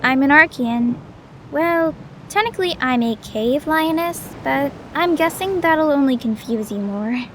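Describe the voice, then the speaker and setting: A woman's voice: high-pitched, expressive, a little slow, a bit bright. A woman playing a young cave lioness huntress in an audio drama, plain-spoken and matter-of-fact. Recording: faint background noise and ambience.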